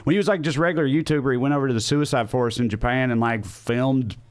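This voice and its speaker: A man's voice: medium pitch, fast, clean tone. A man hosting a podcast, chatting casually and trading comedic banter. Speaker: podcast host.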